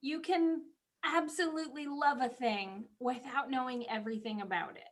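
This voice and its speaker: A woman co-hosting a casual podcast, reminiscing in an animated way and quick to laugh. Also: sits high. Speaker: podcast co-host. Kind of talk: casual chat, personal reminiscing.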